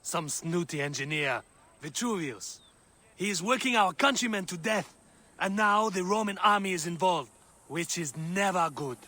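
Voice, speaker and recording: Game role: talkative male workman, raised bright voice, wry, sardonic complainer. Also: high voice, expressive, normal speed, exaggerated voice. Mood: wry grumbling. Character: wry, talkative male Egyptian quarry labourer. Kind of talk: dramatic video game acting.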